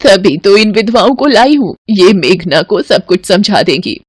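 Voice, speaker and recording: A woman's voice: medium pitch, normal speed, slightly rough, dry recording, studio quality, natural voice. A woman playing a stern, scornful old great-aunt in an audio family drama, scolding and imperious. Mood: grave, commanding.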